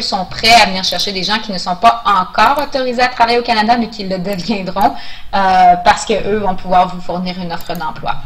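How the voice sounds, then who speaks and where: A woman's voice: medium pitch, a bit bright, low-quality recording. A woman answering questions in a webinar as an immigration officer, explaining procedures in a hesitant, informal way.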